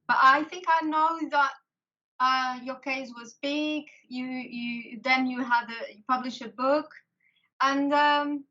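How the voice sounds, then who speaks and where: A Portuguese woman with an accent, hosting a video call interview in English, talking haltingly with many restarts. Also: high voice, fairly even intonation, bright, forceful tone. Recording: lo-fi call audio.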